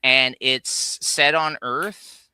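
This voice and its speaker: A man's voice: medium pitch, steady tone, extremely slow, pushed and bright, smooth, no room sound. A man in casual, explanatory conversation as a co-host on a geek talk stream. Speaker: guest co-host on a geek countdown livestream. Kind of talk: casual chat.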